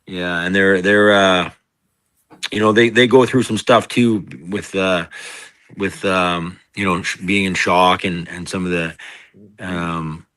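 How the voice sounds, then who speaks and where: Deep-voiced man, rambling. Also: steady tone, slow pace, fairly bright tone, clean tone, dry recording. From Canada. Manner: rambling, full of fillers and restarts. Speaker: singer-songwriter guest. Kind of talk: casual interview storytelling.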